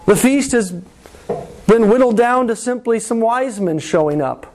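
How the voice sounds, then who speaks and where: Male pastor, sermon, high voice, slow deliberate delivery, repeats lines for weight. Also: darkish tone, rough recording. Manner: slow, deliberate preaching with dramatic pauses and repetition.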